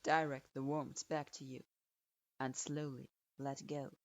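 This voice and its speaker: A woman voicing a calm, formal adult man with a detached, measured way of speaking, in a storybook reading. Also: rumbling low, pitched below the performer's own voice. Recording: dull lo-fi recording.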